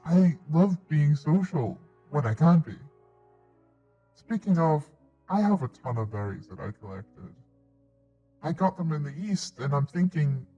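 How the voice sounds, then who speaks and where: A man's voice: a little high, warm tone. A man voicing a shy, socially awkward alien creature, speaking hesitantly in an odd voice for a Minecraft roleplay. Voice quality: odd put-on voice. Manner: hesitant, halting, mumbling, timid. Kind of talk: first-person story narration in Minecraft roleplay. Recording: dull lo-fi mic, faint music behind.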